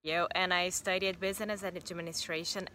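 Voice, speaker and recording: Bright-voiced Brazilian woman introducing herself. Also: fairly low pitch, steady tone, fairly quick, no room sound, poor audio. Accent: Brazilian Portuguese. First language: Portuguese. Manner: chatty, personal, repeats words for emphasis. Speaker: English student. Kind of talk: recorded self-introduction.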